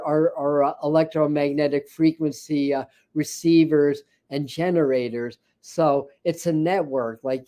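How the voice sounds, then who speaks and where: A man with a scratchy, hoarse voice hosting a spiritual livestream, chatting casually with many fillers and restarts. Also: a little high, mellow. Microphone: ring light setup, speaking to camera.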